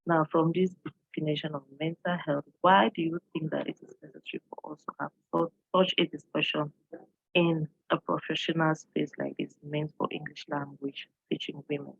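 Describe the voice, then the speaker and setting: A woman's voice: low voice, little pitch movement, normal speed, creaky, slightly rough, no room sound, dull audio. A woman from Cameroon, an English teacher, giving an earnest online presentation and posing questions to her audience, with frequent fillers.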